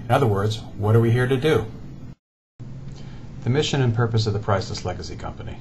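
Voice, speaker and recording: A man in his forties giving an earnest, deliberate presentation about his company, stressing lists of words. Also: lowish voice. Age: 40s. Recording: dull lo-fi sound with clear background noise.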